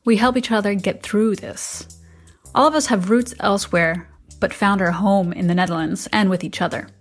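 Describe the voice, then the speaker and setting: A middle-aged American woman hosts a podcast, reading personal narration. Her voice sits at an average female pitch, with music playing underneath. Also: moderate pace. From United States. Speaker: podcast host.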